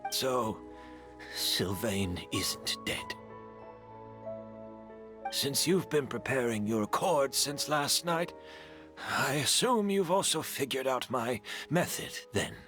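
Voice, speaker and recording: An actor playing an older, defeated but unrepentant master perfumer in a video game, measured and resigned. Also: male voice, medium pitch, animated, over music. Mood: wry, probing. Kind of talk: scripted video game acting.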